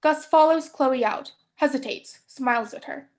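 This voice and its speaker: High-voiced young woman narrator. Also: unhurried, darkish tone. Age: young adult. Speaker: stage directions reader. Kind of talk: scripted narration for staged reading.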